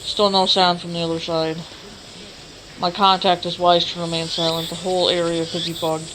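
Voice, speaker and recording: A woman's voice: on the low side, level tone, moderate pace, background noise. A woman doing a casual let's play, chatting and reading game text aloud. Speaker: gamer streamer.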